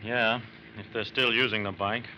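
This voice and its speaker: Casting: male actor as methodical G-man, lowish measured voice, polite but firm, noisy vintage radio drama. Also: fairly even intonation, muffled recording, natural voice. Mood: calm, alert. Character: steady, methodical male FBI agent in charge. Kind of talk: 1940s-50s radio crime drama acting.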